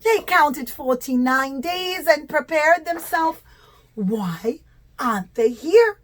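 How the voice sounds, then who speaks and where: A woman's voice: high-pitched, lively intonation, takes it slowly. A woman voicing an earnest, urgent male Biblical prophet with exclamatory, emphatic delivery in a children's puppet show. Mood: shocked, puzzled.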